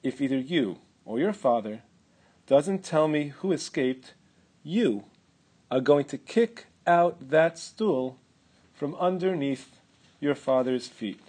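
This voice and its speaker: A man's voice: lively intonation, slow, a little mellow, breathy edge, full character voice. A man whispering as a cruel camp commandant. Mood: menacing whisper. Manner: low threatening whisper.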